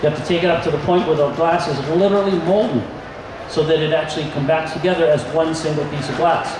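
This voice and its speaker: An older man giving a relaxed live glass-craft demonstration, pausing with fillers as he handles pieces. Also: fairly high pitch, background noise. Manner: relaxed explaining, many fillers, handling pieces while talking. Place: large convention hall room. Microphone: far camera. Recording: echoey hall, voice from far off.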